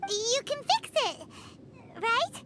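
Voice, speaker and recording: Perky fairy sidekick for a game: very squeaky childlike pitch, animated delivery, heavy background noise. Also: female voice, slow pace, exaggerated voice. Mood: frank. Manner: animated, exclamatory. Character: tiny perky female fairy companion, childlike, eager. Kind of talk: video game voice acting. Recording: loud background noise behind voice.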